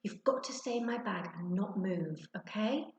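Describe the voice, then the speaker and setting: A woman's voice: medium pitch, expressive, normal speed, a little mellow, natural voice. A woman voicing a young schoolboy, exasperated, pleading and bossy, in a children's storybook reading. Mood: pleading, protesting. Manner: emphatic, insistent delivery. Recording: roomy, echoey sound.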